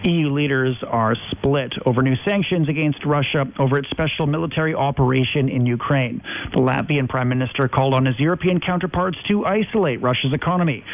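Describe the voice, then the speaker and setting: A man's voice: medium pitch, a little fast, few pauses. A man reading scripted news as an anchor. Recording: narrowband shortwave radio with light noise.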